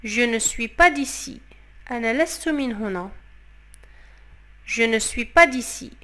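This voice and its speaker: A woman leads a phrase lesson. She says each French line slowly and carefully, repeats it, and then explains it at a quicker pace. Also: a little high, animated, bright, forceful tone. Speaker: French teacher. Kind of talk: phrase lesson for Arabic speakers.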